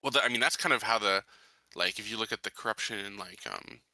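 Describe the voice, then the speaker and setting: A man's voice: fairly low pitch, fast talker, pushed and bright, no echo. A man chatting casually as a tabletop game player, explaining his point.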